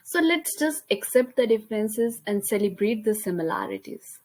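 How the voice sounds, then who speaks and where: A woman's voice: medium pitch, animated, moderate pace, darkish tone. A young woman from India with an Indian accent, a native Hindi speaker, reading a class presentation over slides with careful pacing and small slips. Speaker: student presenter. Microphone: computer mic, voice-over on slides.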